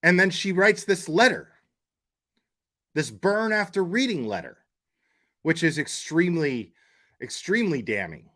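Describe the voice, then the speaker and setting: A man's voice: on the high side, very animated, a little slow, pauses often, sharp tone. A man hosting a casual true-crime livestream, blunt and opinionated, often repeating words and phrases as he works out his point. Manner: emphatic, opinionated, blunt with profanity. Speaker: livestream host.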